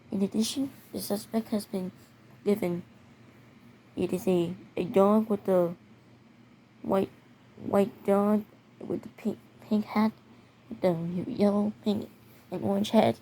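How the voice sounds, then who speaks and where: A speaker narrating a homemade scenario video in a halting way, often repeating words. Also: mid-range voice, steady tone, warm tone. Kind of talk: amateur narration of a mock emergency alert scenario video. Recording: noticeable background noise.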